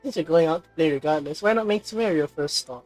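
A woman's voice: low-pitched, moderate pace, darkish tone, dry recording, soft background music. A woman gamer reading dialogue choices aloud and reacting to the game. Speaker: game player.

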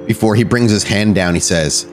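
A man hosting and narrating a tabletop roleplaying game, often halting and correcting himself as he goes. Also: fairly low pitch, soft background music. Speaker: podcast host and game storyteller.

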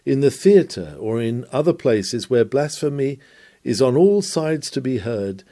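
Mellow-voiced man reading an earnest sermon. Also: mid-range voice, sing-song, measured pace, no room sound. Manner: earnest, exhortatory, rhetorical questioning. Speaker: reader of a historic sermon. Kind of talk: sermon read aloud.

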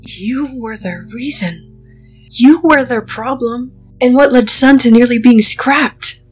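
A woman's voice: fairly high pitch, takes it slowly, darkish tone, faint music behind, poor audio. A woman playing a devoted, wounded but defiant inventor in a dramatic comic dub, speaking earnestly with emotional weight. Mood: angry, defiant.

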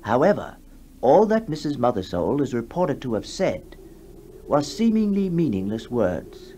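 A man narrating a ghost story for 1960s radio in a measured storytelling manner with deliberate pauses. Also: mid-range voice, sing-song, warm tone, slight background noise. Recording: 1960s radio broadcast with music behind.

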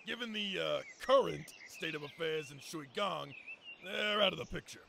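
Military game general, very creaky voice, bright, formal, emphatic briefing. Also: male voice, on the high side, lively intonation, normal speed, slight background noise, heavily acted. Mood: formal, earnest. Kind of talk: video game voice acting.

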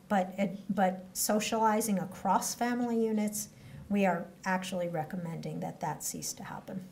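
A woman public health official answering questions at a press conference in a measured, explanatory way, speaking into podium microphones. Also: medium pitch, a little mellow, a little hiss or hum. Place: indoor press room at a podium.

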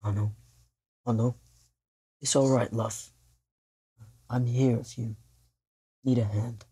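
A man playing a gentle, reassuring father in a fantasy tabletop game, speaking tenderly. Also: mid-range voice, steady tone, takes it slowly, husky, full character voice. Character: gentle, reassuring father soothing someone distressed.

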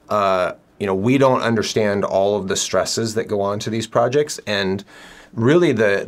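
Low-voiced middle-aged male builder host. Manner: conversational, probing questions with many fillers. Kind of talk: interview, casual questioning. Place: indoor office room.

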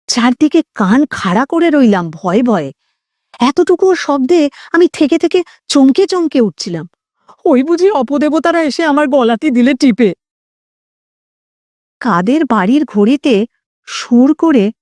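A woman's voice: high voice, darkish tone, dry recording, studio quality. A woman narrating a scripted horror story in a theatrical, suspenseful way, drawing out words for effect.

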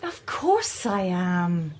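A woman's voice: on the low side, big pitch swings, extremely slow, slight background noise, full character voice. An actress playing a tender, worried mother in a radio drama. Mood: reassuring.

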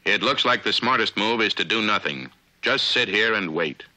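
An American man narrating a scripted 1950s military training film in a measured, authoritative, instructional way, on a vintage film soundtrack. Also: lowish voice, lively intonation, bright, forceful tone, poor audio.

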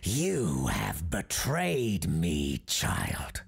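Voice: rumbling low, wildly swooping pitch, extremely slow, gravelly, breathy edge, heavily acted. A performer voicing a proud, grasping talking tree in a spooky storybook, solemn and menacing, drawing out the words. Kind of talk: spooky audio storybook character.

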